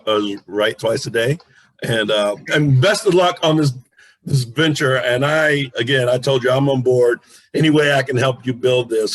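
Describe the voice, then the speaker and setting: A man's voice: medium pitch, low-quality recording. A man hosting an interview in a casual, conversational style. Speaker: interviewer, host.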